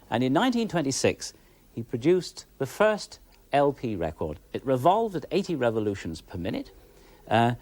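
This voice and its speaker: A man's voice: mid-range voice, dry recording. A British man presenting a history programme in a genteel, wry, informally expert way. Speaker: television presenter.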